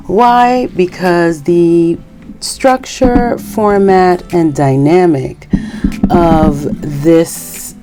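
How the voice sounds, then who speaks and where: A woman podcast host, born in Haiti and raised in New York, giving a measured, earnest personal monologue. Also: on the low side, dark tone.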